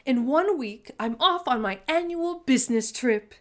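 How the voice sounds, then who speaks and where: A woman's voice: high-pitched, lively intonation, unhurried, voice pushed up. A woman voicing a grand, eccentric great-aunt in a storybook, giving emphatic instruction. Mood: announcing grandly.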